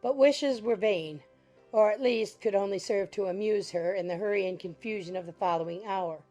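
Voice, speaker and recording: A woman's voice: medium pitch, normal speed, dark tone, soft background music. A woman narrating a classic novel in a scripted audiobook style.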